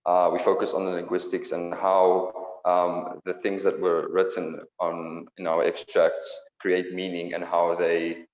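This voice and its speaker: A teenage schoolboy with a very low, flat voice answers haltingly and keeps restarting his sentences. He speaks through an earphone mic, and the sound is dull with a long echo. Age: teens. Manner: hesitant, restarting. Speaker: student. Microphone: earphone mic, video call. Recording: echoey, dull call audio.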